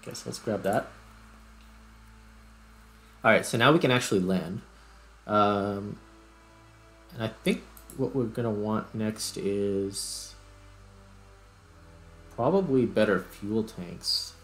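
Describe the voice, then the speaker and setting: Low-voiced man casually narrating gameplay. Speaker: host, gamer.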